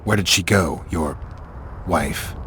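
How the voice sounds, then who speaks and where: An actor playing a stoic, world-weary monster hunter in a video game, terse and deadpan in a deep, gruff voice. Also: male voice, slow pace, full character voice. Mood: flat, calm. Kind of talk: video game character acting. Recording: clear background ambience noise.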